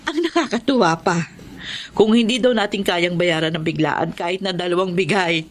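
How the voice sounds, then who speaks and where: A woman's voice: fairly low pitch, moderate pace, natural voice. An actress playing an earnest, hardworking single mother in a radio drama. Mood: tender. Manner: earnest, emotional.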